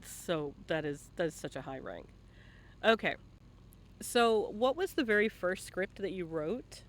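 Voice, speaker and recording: A man's voice: high voice, animated, measured pace, fairly bright tone. A man hosting a casual live-stream interview, encouraging and bantering, with some swearing. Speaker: interviewer and host.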